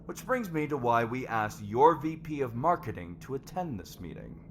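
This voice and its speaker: A man playing a stern, businesslike lord on a company board, firm and measured, in an ASMR roleplay. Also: mid-range voice, very animated, a little hiss or hum. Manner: measured, firm, matter-of-fact.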